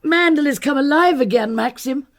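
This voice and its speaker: An actress playing an effusive, tiresome upper-class lady in a radio drama, gushing with drawn-out emphasis. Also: sits high. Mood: excited, gushing.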